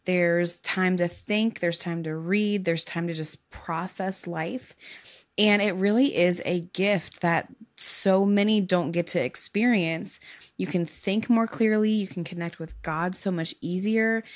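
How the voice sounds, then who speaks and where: A woman in her thirties hosts a podcast from a home studio, speaking into a condenser mic. Her voice sits a little low, and she talks in an affirming, reflective way. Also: steady tone, measured pace. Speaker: podcast host. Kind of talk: casual interview talk.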